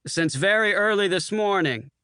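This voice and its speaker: A man voicing a brash, boastful, hard-drinking Marine colonel with a loud, swaggering delivery. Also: high-pitched, animated, normal speed, smooth, no echo, clean studio sound, pitched above the performer's own voice. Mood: toasting.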